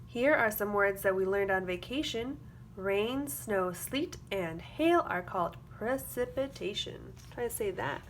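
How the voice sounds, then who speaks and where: A woman's voice: mid-range voice, expressive, unhurried, slight background noise. A woman teacher reading a picture book aloud to young children, expressively, with simple explanatory asides. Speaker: early-grades classroom teacher.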